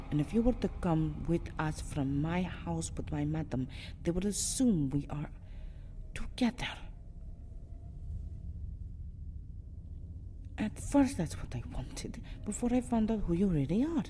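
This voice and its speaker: Actress as nervous, lovesick vampire servant girl. Low register, flustered ASMR delivery, audible ambient noise. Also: mellow. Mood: pleading. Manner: stammering, flustered, pleading. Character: anxious, lovesick six-hundred-year-old vampire girl, servile to her mistress. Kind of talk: ASMR roleplay acting. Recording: clear background ambient noise.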